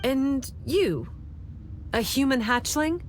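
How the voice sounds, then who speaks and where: Enigmatic game stranger: highish, slow, lilting, aloof, curious. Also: female voice, sing-song, slight background noise, heavily acted. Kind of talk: English video game voice acting.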